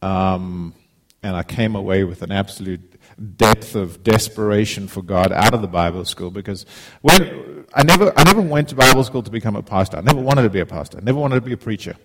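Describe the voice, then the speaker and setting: A man's voice: fairly low pitch, lively intonation, fairly quick, few pauses, a bit bright, rough recording. A middle-aged man from Zimbabwe, giving an informal, heartfelt sermon as a guest preacher, often repeating words as he starts a phrase.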